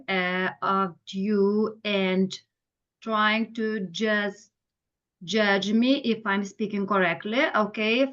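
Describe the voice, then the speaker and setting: Slow, halting woman on dull audio. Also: medium pitch, fairly bright tone. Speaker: workshop participant in a role-play. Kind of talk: improvised speech to a group.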